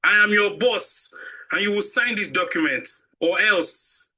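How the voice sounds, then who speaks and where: A man playing a corrupt, menacing executive boss in an audio drama, smooth-talking and overbearing, with a Nigerian accent. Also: sits high, a little slow, bright, forceful tone, full character voice. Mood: threatening. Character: corrupt, menacing male executive boss pressuring his accountant.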